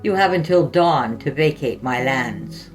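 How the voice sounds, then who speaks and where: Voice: mid-range voice, lively intonation, unhurried, far from the mic, faint music behind, lightly acted. A narrator voicing a menacing ancient spirit in a boy's body, speaking in clipped, archaic, imperious phrases. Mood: cold, commanding.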